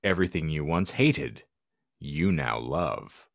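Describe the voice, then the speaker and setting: A man's voice: extremely low pitch, big pitch swings, slow, creaky, no echo, studio quality. A man hosting a podcast with wry, sarcastic asides, bantering casually and reading bits aloud. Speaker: podcast host.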